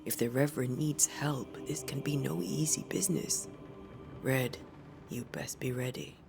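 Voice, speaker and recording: Grave, cautious female master ghost hunter, measured and serious, noticeably creaky voice, game drama with music behind. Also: extremely low pitch, full character voice. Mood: grave, concerned. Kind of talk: naturalistic video game cutscene acting.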